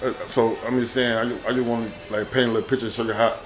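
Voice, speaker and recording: A man doing a casual street interview, recapping and questioning in a halting, repetitive way. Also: mid-range voice, level tone.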